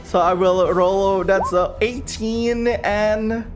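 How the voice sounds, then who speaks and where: High-voiced man narrating a tabletop game. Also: over music. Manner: animated storytelling, halting, thinking aloud. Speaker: game master and streamer.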